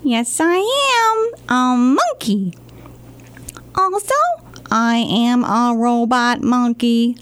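A woman voicing a silly, boastful monkey in a storybook reading, squeaky and exaggerated. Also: animated, drawn-out words, pauses often.

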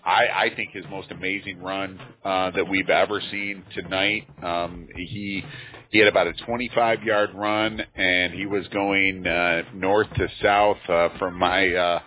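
Brief: man, 50s, radio sports announcer, lowish voice, thin phone-line sound, faint music behind. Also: unhurried, slight background noise. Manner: relaxed, chatty, with frequent fillers. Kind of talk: high school football radio commentary.

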